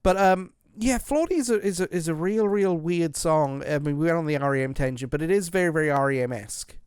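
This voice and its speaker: A man's voice: on the high side, fairly quick, darkish tone, clear, resonant tone, no room sound, clean studio sound. A man hosting a podcast, chatting casually about music in a rambling way with lots of restarts. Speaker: podcast host.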